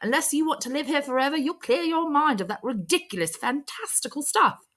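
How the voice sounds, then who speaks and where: A woman acts out a stern female orphanage warden for a storybook. The voice is pitched high and comes down hard on each phrase. She is harsh, emphatic and dismissive. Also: expressive, a little fast, fairly bright tone. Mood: scolding. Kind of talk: storybook character voice.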